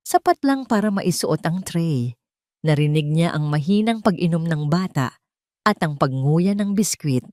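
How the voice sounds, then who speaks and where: Filipino lady narrating a drama story, deep-ish voice, really dry sound. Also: dark tone, dry recording.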